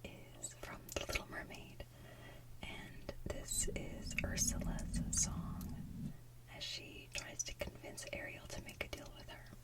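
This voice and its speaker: Softly whispering woman, ASMR host. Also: recorded from a distance, low-quality recording. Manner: hushed, gentle, slow delivery. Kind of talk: soft whispered ASMR intros and talk.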